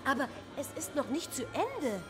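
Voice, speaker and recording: A woman's voice: high voice, big pitch swings, normal speed, echoey room, over music. An actress playing a doting, proud mother in a radio drama, fussy and coaxing. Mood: proud, chatty. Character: doting, fussing, proud mother showing off her child. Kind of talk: German radio drama acting.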